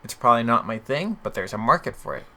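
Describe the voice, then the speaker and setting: Guy podcast host just chatting, bright voice with some gravelly fry in it, super informal. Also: mid-range voice, animated, fast. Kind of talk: casual chat.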